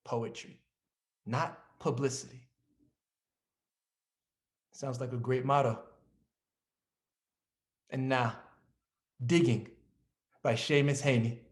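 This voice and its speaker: A man's voice: medium pitch, fairly even intonation, slow, pauses often. A man presenting a scripted introduction and narration in the manner of a programme host.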